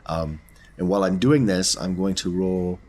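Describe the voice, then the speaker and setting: A man playing a tabletop game, talking casually through his actions in a deliberate, explanatory way. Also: low voice, a little mellow.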